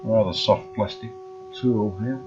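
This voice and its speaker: A man restoring an old radio, explaining each step in an unhurried way with many pauses and fillers. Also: lowish voice, highs cut off, background noise. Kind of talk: casual workshop demonstration.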